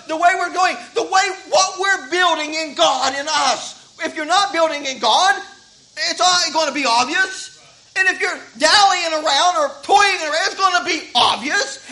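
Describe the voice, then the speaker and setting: A man's voice: extremely high pitch, bright, forceful tone, poor audio. A man, preaching a sermon in a folksy way that repeats words as he starts thoughts. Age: 50s. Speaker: pastor.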